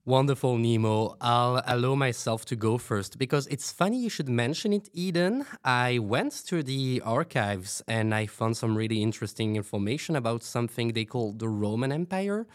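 A man plays a self-important oddball on a fiction radio panel. He holds forth with full confidence in a medium-pitched voice. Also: moderate pace, hardly any pauses, lightly acted. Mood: smug, eager. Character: self-assured, oddball man with absurd theories. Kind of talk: fiction podcast panel interview acting.

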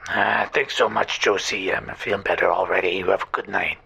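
A man playing an awkward, unintentionally creepy lonely caller, husky and halting, repeating himself in an audio drama phone-in. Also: deep voice, steady tone, sharp tone, exaggerated voice. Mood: eager. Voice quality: gravelly, husky, breathy. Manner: halting, repetitive, socially clumsy.

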